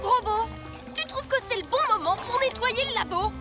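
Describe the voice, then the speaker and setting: A woman's voice: very high voice, animated, normal speed. A woman voicing a bratty, scheming child villain in a French cartoon, taunting and bossy. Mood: bossy, indignant. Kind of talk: French cartoon dub acting. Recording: loud music behind the voice.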